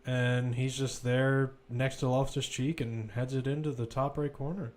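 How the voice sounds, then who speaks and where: A man's voice: medium pitch, little pitch movement, moderate pace, a little mellow. A man commentating live on a football match, mixing play-by-play calls with informal, conversational analysis.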